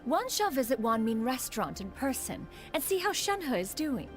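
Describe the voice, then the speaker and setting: A woman's voice: sits high, very animated, moderate pace, soft background music. A woman voicing a proud, aloof, motherly immortal in a video game, with formal, archaic speech. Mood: composed. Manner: formal, haughty, archaic wording.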